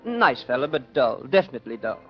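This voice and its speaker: An actor playing a chatty, breezy gentleman prankster in a vintage radio mystery, with a sociable manner. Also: medium pitch, very animated, a little fast, faint music behind, natural voice. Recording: dull, lo-fi old radio recording.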